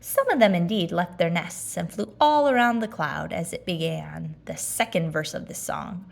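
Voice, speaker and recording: A woman narrating a children's story aloud, expressive and deliberate in her phrasing. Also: on the low side, vocal fry.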